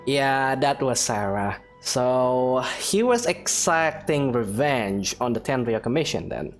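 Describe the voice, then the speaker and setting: Animated man playing along with a game. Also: medium pitch, takes it slowly, soft background music. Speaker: player reacting to a game. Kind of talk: casual game commentary, joining in the dialogue.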